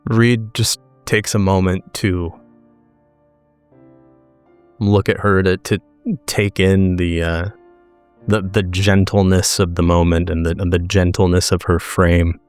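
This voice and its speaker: A man narrating his character's scene in a tabletop game, measured and deliberate, phrase by phrase. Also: deep voice, flat delivery, darkish tone, smooth, no echo, soft background music. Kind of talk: slow, descriptive story narration.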